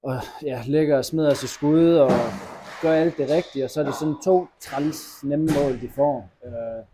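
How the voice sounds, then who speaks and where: A young man, a hockey player, answering post-match interview questions in a halting, disappointed way with many fillers and restarts, recorded indoors at an arena press wall. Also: fairly high pitch, steady tone, noisy background. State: disappointed after a loss.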